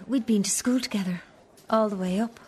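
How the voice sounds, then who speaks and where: An actress playing an older Irish mother in a radio drama, reflective and halting as she confesses her past. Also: mid-range voice, a little mellow, close to an everyday voice. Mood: subdued, regretful. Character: older Irish mother, candid, regretful, recalling her youth. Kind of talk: naturalistic radio drama acting.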